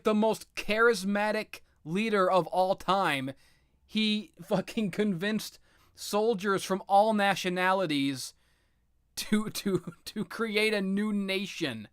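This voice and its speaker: A man with a slightly high voice rants off the cuff about video games. He is blunt and exasperated and swears freely. The sound is dry and close, with no room echo. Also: fairly bright tone, clean tone. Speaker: video game commentator. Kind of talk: unscripted opinionated rant about video games.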